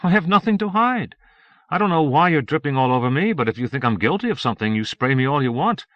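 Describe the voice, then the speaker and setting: A man's voice: medium pitch, very animated, a little fast, no echo, dull audio, pitched above the performer's own voice, lightly acted. A man voicing a glib, defiant citizen under questioning in an audiobook, breezy and protesting. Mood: eager bluffing. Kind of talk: audiobook character acting.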